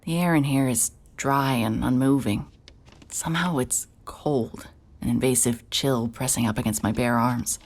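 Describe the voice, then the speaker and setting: A young woman narrating a horror audio drama in first person, tense and breathless, dropping to whispers and breaking into sudden shouts. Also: very deep voice, a little slow. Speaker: narrator and lead character.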